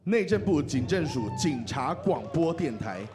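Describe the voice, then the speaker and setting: Male co-host, Taiwanese accent, fairly high and animated, comic stage banter and announcing, faint music. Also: a little slow. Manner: joking. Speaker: awards presenter and radio host. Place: awards ceremony stage.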